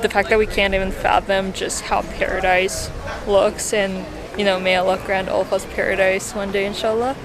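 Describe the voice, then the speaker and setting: A young woman from a post-Soviet country, a native Russian speaker, talking in a chatty and earnest way, full of "like" fillers, as she vlogs her travels. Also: medium pitch. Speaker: travel vlogger. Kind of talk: casual vlog monologue. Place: outdoors and in shops and cafes on a trip. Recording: loud background music.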